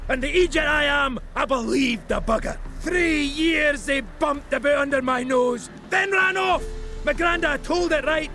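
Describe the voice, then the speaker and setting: An actor playing a crude, angry cuckolded dwarf in a video game, gravelly and sweary, with music behind him. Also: male voice, very high-pitched, unhurried, sharp tone, heavily acted. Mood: furious, ranting. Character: furious drunken-sounding cuckolded male dwarf, crude and bellowing. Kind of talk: video game character acting.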